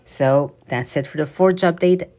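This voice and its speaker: A man talking casually over gameplay footage as a game news commentator, rambling and halting with frequent fillers and restarts. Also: a little high, darkish tone.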